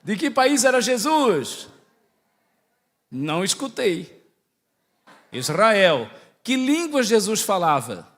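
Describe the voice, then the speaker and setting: High-voiced Brazilian priest in an echoing hall. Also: male voice, bright, forceful tone. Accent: Santa Catarina Brazilian, close to Portuguese. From Santa Catarina, southern Brazil. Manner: didactic, asks the audience questions and checks in often. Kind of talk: conversational religious talk to a congregation. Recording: echoey hall with long reverb.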